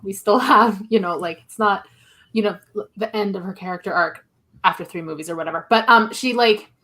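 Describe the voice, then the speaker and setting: A woman talks as a podcast guest in a relaxed, informal register, with a mid-range pitch. She argues her opinions conversationally, often dropping in "like" as she goes. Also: brisk. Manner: informal, frequent "like" as filler. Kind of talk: casual chat, opinionated discussion.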